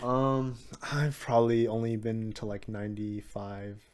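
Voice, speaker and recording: A man's voice: on the low side, fairly even intonation, unhurried, darkish tone. A young man hosting a podcast in casual chat, upbeat and laughing often, with lots of fillers. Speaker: podcast host.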